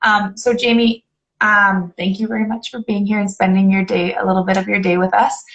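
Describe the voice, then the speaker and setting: A woman's voice: medium pitch, flat delivery, a little fast, fairly bright tone, muffled recording. A woman from Canada hosting a live online interview, chatty and upbeat, often repeating herself. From Canada (Alberta). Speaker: host. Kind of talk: casual live video interview.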